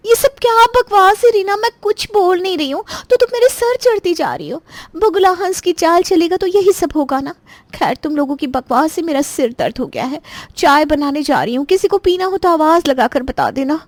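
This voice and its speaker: Lady playing proud sharp-tongued sister in law, girlish, super high voice, cartoon story, dry audio. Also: moderate pace, no echo. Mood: scolding. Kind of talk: Hindi cartoon voice acting.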